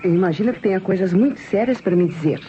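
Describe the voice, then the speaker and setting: An actress playing a shrewd nurse with a Brazilian accent in an old radio drama. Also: female voice, medium pitch, fast talker, mellow, a little hiss or hum, close to an everyday voice. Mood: knowing. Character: Norma, shrewd female nurse. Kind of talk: 1960s radio drama acting. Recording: muffled old radio recording.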